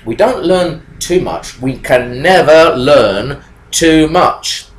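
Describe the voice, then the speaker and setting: A man in his fifties explains English phrases to learners, his voice swinging widely in pitch as he stresses each point. He talks slowly and deliberately into a desk webcam. The small room leaves an echo and a faint hiss of background noise. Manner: animated, emphatic, gestures while talking. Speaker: English teacher. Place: small room indoors with whiteboard.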